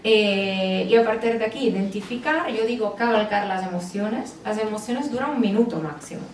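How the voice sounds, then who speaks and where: A woman's voice: medium pitch, moderate pace. A woman psychologist with an Italian accent, speaking with many hesitations and fillers at a book presentation. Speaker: psychologist and author. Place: bookstore event space. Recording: roomy, distant mic with background noise.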